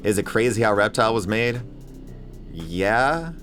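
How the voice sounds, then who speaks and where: A man's voice: on the low side, lively intonation, measured pace, a bit bright. A man delivering an opinionated, emphatic scripted video essay as host, with casual swearing. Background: music bed under voice-over.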